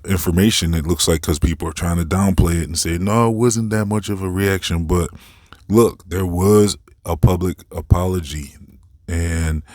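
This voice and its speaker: Deep-voiced man giving informal commentary. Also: darkish tone, no room sound, studio quality. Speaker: host of a commentary series. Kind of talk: informal opinion commentary, unscripted.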